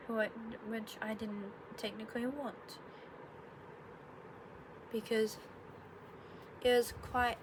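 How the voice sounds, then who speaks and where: Congested young woman rambling about her day. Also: fairly high pitch. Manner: rambling, self-correcting, sarcastic asides. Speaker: vlogger. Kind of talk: casual explainer vlog. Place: her bedroom. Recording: noticeable room noise.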